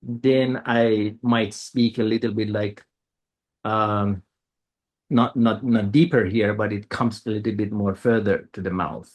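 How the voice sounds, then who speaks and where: A man from Iran whose first language is Persian, speaking as a guest in a reflective conversation and often pausing and restarting as he searches for words. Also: fairly low pitch, steady tone.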